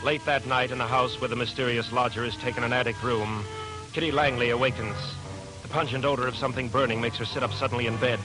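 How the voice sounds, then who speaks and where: An actor as a grave, suspenseful narrator, giving dramatic scripted 1940s radio narration. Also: male voice, medium pitch, steady tone, quick pace, natural voice, rough recording. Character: grave, suspenseful male storyteller of crime. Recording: old radio broadcast, music behind.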